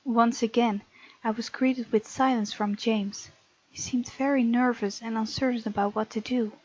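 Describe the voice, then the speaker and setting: A woman voicing a bold, tender young heroine who narrates a visual novel romance in a breathy, often whispery voice. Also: a little high, steady tone, normal speed, darkish tone, dry recording, close to an everyday voice. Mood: intimate, hushed. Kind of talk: hushed dramatic reading of a visual novel. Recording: muffled lo-fi mic, music underneath.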